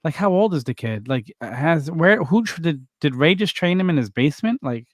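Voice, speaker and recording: A man's voice: on the high side, animated, normal speed, dry recording, clean studio sound. A man co-hosting a wrestling podcast, rambling and teasing in casual chat.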